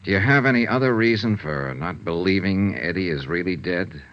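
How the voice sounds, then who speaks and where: A man's voice: low-pitched, lively intonation, moderate pace. A man playing a cool, probing investigator in a 1950s radio drama, asking laconic, wry questions. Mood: cool, tactful. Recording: dull, band-limited vintage radio recording.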